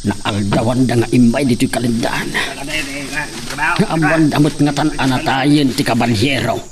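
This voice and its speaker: A man's voice: mid-range voice, normal speed, a crowd in the background, close to an everyday voice. A man with an Ilocano accent playing a scheming henchman in a radio drama. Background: music.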